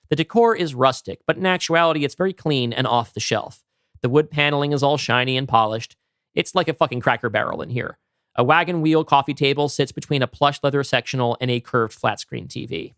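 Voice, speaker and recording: A man's voice: mid-range voice, fairly bright tone, no room sound, clean studio sound. A man co-hosting a podcast, talking casually and narrating a script he wrote. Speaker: podcast co-host and writer.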